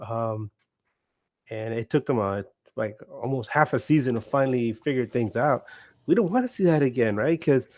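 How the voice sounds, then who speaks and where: A man's voice: mid-range voice, animated, moderate pace, a little mellow, dry recording. A man co-hosting a sports podcast, chatting casually and rambling with jokes, tired after a long day. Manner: rambling, joking, laughs often. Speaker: podcast co-host.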